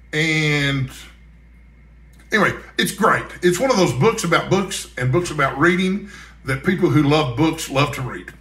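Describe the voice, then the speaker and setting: A man's voice: on the high side, sharp tone. A middle-aged man chatting casually to viewers as a book vlogger, with conversational asides, recorded in a roomy space. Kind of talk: casual chat to camera, answering a list of book questions. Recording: roomy, echoey sound.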